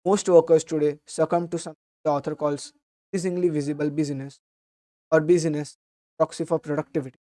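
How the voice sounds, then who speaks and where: Slightly hoarse young man, mellow voice. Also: a little high, quick pace. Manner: steady, earnest explaining with small restarts. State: slightly hoarse from a sore throat. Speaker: book summary host. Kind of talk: explanatory book summary talk.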